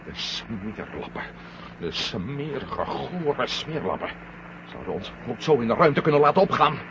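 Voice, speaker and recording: An actor playing a cool-headed, sardonic astronaut in a 1970 science-fiction radio drama, speaking with dry sarcasm. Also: male voice, mid-range voice, very animated, measured pace. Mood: bitter. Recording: muffled, noisy old radio drama recording.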